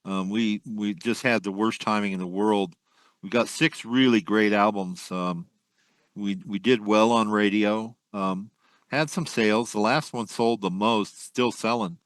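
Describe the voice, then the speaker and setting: Older man, low voice, dry close sound, rambling musician guest. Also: measured pace, fairly bright tone, dry recording. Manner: rambling, reminiscing, many ums. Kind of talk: casual interview chat.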